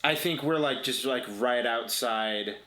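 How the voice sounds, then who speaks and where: A man improvising scene description for a tabletop game in casual, halting talk, repeating words as he thinks. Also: medium pitch, sharp tone. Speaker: player on an actual play podcast.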